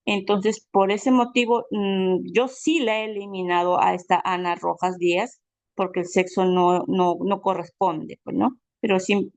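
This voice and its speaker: A woman leads an online family history class on a video call. She talks in a friendly, unhurried way with plenty of fillers. Her voice sits at a middle pitch, and the recording is dry. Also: no echo. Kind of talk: online class, casual explanation.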